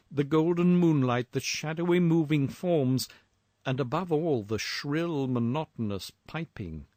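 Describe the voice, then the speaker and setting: A man narrating a literary horror story in a formal, theatrical and deliberate manner. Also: medium pitch, darkish tone, no echo, studio quality.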